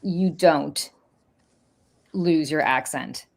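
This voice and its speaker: A woman's voice: deep voice, extremely slow. A woman whose first language is Russian, talking casually on a podcast panel and thinking aloud with stumbles and restarts. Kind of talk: casual chat.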